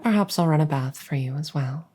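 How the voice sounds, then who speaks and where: Woman playing a low, breathy, devoted vampire maid. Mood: adoring, attentive. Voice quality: soft, breathy, often whispering. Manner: gentle, unhurried, deferential, formal. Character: devoted, doting undead vampire maid serving a ruler. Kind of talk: soft-spoken roleplay ASMR.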